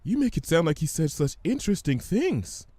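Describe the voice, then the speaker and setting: Timid young female pony as voiced by a man, raised pitch, gentle and hesitant, for a cartoon-style story. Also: very animated, normal speed, slightly rough, no echo. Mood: puzzled. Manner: hesitant, gentle, stammering when alarmed.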